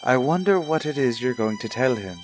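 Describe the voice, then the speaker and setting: A man's voice: mid-range voice, lively intonation, brisk, faint music behind, lightly acted. A man playing a suspicious husband in an old-time radio soap, speaking with formal, pointed politeness. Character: suspicious, coolly courteous husband, probing his wife.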